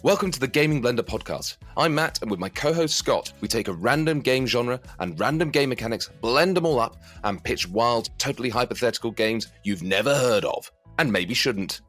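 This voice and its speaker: A man's voice: mid-range voice, expressive, normal speed, a bit bright, faint music behind. A man who hosts a podcast, reading a scripted promo for his show. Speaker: podcast host.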